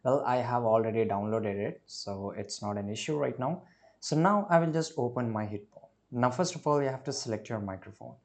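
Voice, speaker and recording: A man presenting a casual step-by-step software how-to, instructional and often checking in with a tag question. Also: medium pitch, a little fast. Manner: instructional, often tags sentences with "right?". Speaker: tutorial presenter.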